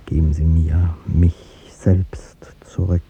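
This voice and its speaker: A man's voice: little pitch movement, slow, warm tone, heavily acted. An actor playing an aging, courtly president in a 1950s radio drama, speaking formally and hesitantly as he gives up a beloved young woman. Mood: resigned, reproachful. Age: older. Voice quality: deep, gravelly. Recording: muffled vintage radio recording, rumbling hiss.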